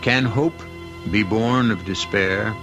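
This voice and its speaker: A man's voice: fairly low pitch, slow. A man delivering a solemn, brooding, rhetorical monologue in an old-time radio drama. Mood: solemn, wondering. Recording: muffled old radio recording, music behind.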